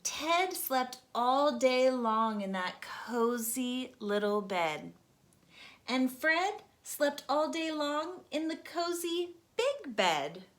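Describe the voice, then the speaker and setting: Slow, expressive woman reading a picture book. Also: high voice. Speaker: storytime host and reader. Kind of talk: children's read-aloud narration.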